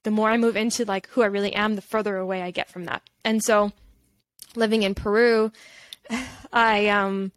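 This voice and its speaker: A woman's voice: medium pitch, steady tone, moderate pace, dry recording. A woman from Canada, talking candidly and reflectively in a casual interview, rambling with lots of filler "like". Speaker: podcast guest, holistic nutritionist.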